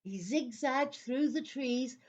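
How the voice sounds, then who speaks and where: Female narrator, children's audio play; slightly high voice, slow deliberate storytelling with theatrical phrasing. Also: muffled recording.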